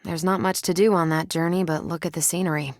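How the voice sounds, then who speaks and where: A woman's voice: on the low side, steady tone, fast, dry recording, studio quality, natural voice. Woman voicing a wry, confident American superheroine. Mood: conversational. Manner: wry, self-assured, conversational. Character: confident, wry superheroine and military-minded space pilot, adult woman. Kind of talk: video game voice lines.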